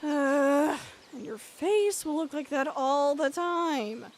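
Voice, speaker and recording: A woman's voice: sits high, takes it slowly. A woman voicing a sulky, teasing young dragon in a fan dub.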